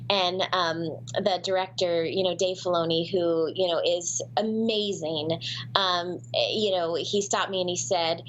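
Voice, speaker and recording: A woman's voice: on the low side, steady tone, measured pace, slight background noise, poor audio. Chatty woman telling an audition story. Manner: chatty, many fillers and restarts. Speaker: voice actress guest. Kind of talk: casual interview storytelling.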